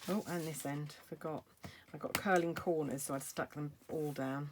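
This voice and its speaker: Low-voiced woman, chatty craft demo. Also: fairly even intonation, normal speed, background noise. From United Kingdom. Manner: chatty, thinking aloud while working. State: slightly croaky. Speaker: craft demonstrator. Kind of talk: casual craft tutorial. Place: craft table indoors.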